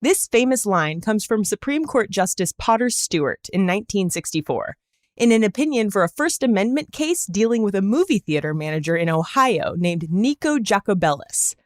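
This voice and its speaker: A woman's voice: mid-range voice, animated, moderate pace, no room sound, clean studio sound. A woman hosting a podcast, reading scripted narration in a conversational storytelling style with wry, joking asides. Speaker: podcast host.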